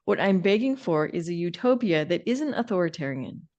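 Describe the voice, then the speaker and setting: A woman's voice: lowish voice, expressive, normal speed, darkish tone, lo-fi sound. A middle-aged woman co-hosting a podcast in a conversational way, with a wry, emphatic and opinionated manner. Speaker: podcast co-host.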